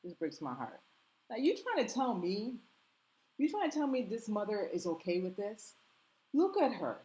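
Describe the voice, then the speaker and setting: An indignant woman speaks at a mid pitch, hammering her points with emphatic repetition. She talks directly to her viewers in impassioned commentary. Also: darkish tone, rough recording. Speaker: commentary vlogger.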